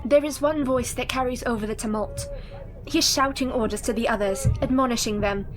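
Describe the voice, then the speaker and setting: Woman as high-voiced, composed Victorian heroine. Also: fairly quick, noisy background, close to an everyday voice. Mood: entranced reporting. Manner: formal, precise, earnest. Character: brave, composed young Victorian wife in trance. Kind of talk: radio play acting.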